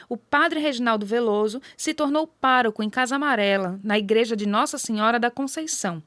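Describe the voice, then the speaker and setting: A woman with a fairly high voice and a Brazilian accent delivers scripted documentary narration. The recording is dry, with very little room sound around her. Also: dry recording.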